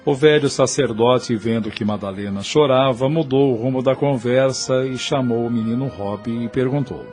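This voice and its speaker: A man narrating a scripted audio novel in a solemn, measured storytelling manner. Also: mid-range voice, a little mellow, soft background music.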